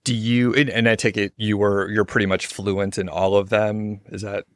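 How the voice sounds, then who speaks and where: A man's voice: fairly low pitch, level tone, normal speed, no echo, clean studio sound. A man hosting a podcast, talking in a friendly, informal way with lots of casual fillers. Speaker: podcast host. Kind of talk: casual interview chat.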